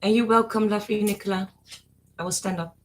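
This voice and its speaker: A woman's voice: mid-range voice, fairly even intonation, fast, slight background noise. A woman with a Dutch accent chatting on a live stream in a mid-range voice. She teases, giggles and speaks her mind plainly. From Netherlands. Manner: teasing, giggly, outspoken. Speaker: co-host, behind-the-scenes organiser. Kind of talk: casual live-stream chat.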